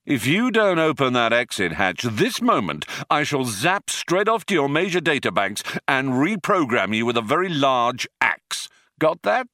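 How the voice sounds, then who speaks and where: A brash male space adventurer , put on by a man, with little patience. He is forceful and dismissive, his pitch moving freely, in a comic science-fiction story. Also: big pitch swings, moderate pace, few pauses, no room sound, studio quality. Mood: exasperated.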